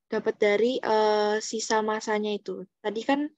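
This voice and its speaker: Young student, female, explaining haltingly, muffled lo-fi call audio, no room echo. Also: mid-range voice, level tone, a little mellow. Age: young adult. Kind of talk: hesitant explanation of an exercise. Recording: dull, dry call audio.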